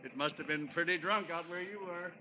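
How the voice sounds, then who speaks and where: A man's voice: on the high side, fairly quick, sharp tone. An actor playing a friendly male train passenger in a 1940s radio drama, chuckling at a dazed young man. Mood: amused. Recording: muffled old radio broadcast.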